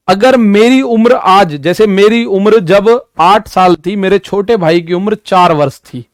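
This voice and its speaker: A young man in his twenties teaches an exam-prep maths class in a high, lifted voice. He talks directly to his students, repeats his key points and works through everyday examples. The sound is dry, with no room echo. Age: 20s. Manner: repetitive, addresses students directly with "ठीक है" and "भाई".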